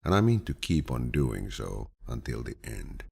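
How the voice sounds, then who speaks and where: Very deep, gravelly male audiobook narrator. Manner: calm, grandfatherly, measured storytelling. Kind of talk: scripted audiobook reading of quotations.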